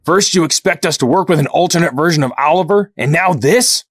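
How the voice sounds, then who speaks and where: A man's voice: fairly high pitch, fairly quick, husky, no echo, clean studio sound. A man playing a hardened, distrustful resistance fighter in an audio drama, confrontational and sarcastic. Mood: angry, distrustful.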